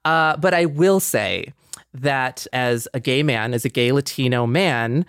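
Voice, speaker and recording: A man's voice: mid-range voice, measured pace, a bit bright, clean tone, dry recording, clean studio sound. A man in his forties co-hosting a podcast, introducing and talking reflectively. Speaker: podcast co-host.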